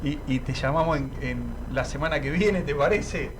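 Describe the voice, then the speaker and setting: A man's voice: on the high side, moderate pace, very noisy. A man with a Rioplatense accent hosting a radio show, wrapping up in a friendly colloquial way. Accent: Rioplatense Spanish. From Argentina. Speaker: radio host.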